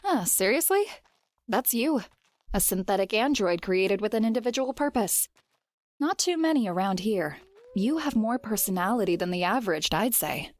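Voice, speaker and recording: A woman's voice: mid-range voice, animated, no room sound, natural voice. Woman playing a formal lady knight, dry sound. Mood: brisk, wry. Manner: formal, measured, serious. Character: formal, resolute lady knight, town defender. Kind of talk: audio drama acting.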